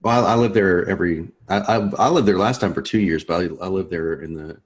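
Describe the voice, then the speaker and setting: A man's voice: sits low, a little fast, husky. A man hosting a course, joking in laid-back casual chat on a video call before the lesson. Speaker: course host.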